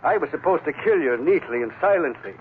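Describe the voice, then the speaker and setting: A man's voice: fairly high pitch, lively intonation, a little fast, narrow, tinny audio, lightly acted. A thin, faintly noisy vintage radio recording carries a man acting as a shady island doctor. He is wordy and sardonic, with a mock-genteel polish, in the manner of a 1940s adventure drama. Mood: cynical. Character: cynical, eloquent crooked island doctor, sardonic.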